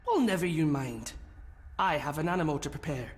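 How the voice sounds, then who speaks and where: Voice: mid-range voice, expressive, brisk, natural voice. An actor playing an evasive, flustered household servant in a video game drama. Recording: long reverb, music behind.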